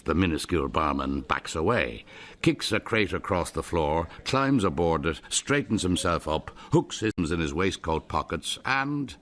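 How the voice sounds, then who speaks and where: A man's voice: a bass voice, a bit bright. A man with an Irish accent narrating a radio drama in a wry, ornate storytelling manner.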